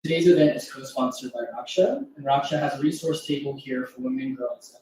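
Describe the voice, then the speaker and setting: A man's voice: mid-range voice, fairly even intonation, brisk, a little mellow. A man hosting an event, introducing a guest in a formal, prepared way. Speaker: event host introducing an author. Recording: very echoey room, distant mic, faint noise.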